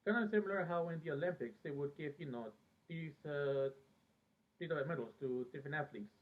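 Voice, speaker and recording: A man from Peru who later lived in America, talking casually and reminiscing in a very hesitant, halting way with frequent pauses mid-sentence. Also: on the high side. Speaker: fan vlogger. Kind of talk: casual rambling reminiscence about a TV show. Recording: thin phone-like band-limited sound.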